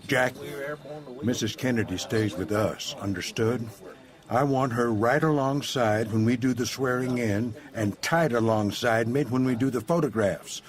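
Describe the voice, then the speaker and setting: An actor playing a commanding, calculating president in an audio drama, giving clipped orders and demanding confirmation. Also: male voice, medium pitch, a little slow, crowd noise, close to an everyday voice. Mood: commanding, insistent. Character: commanding, calculating male president taking charge.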